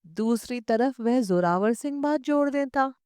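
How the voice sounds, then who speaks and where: A woman reading a literary short story as an audiobook narrator, in measured, expressive storytelling. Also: on the high side, clear, resonant tone, dry recording, clean studio sound.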